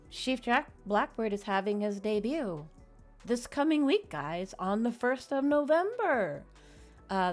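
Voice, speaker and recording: A woman live streamer chatting casually and informally to her viewers in a friendly way. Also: mid-range voice. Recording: music playing behind the voice. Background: background music.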